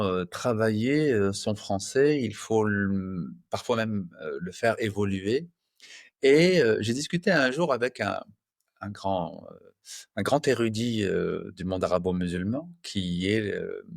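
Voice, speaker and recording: A man in his fifties from France with a lowish voice, explaining his craft in a patient, measured way, pausing often to find the right word. The recording is dry and close, with no reverb. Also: vocal fry. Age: 50s. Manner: measured, patient, many drawn-out fillers. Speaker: guest, translator. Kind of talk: explanatory interview answers.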